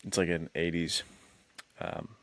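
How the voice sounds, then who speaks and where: A man with a very low voice chats casually on a podcast. His delivery is laid-back and full of enthusiastic slang. The sound is dry and close, with little room in it. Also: little pitch movement, a little slow, a bit bright, dry recording. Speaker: podcast co-host. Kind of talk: casual film discussion chat.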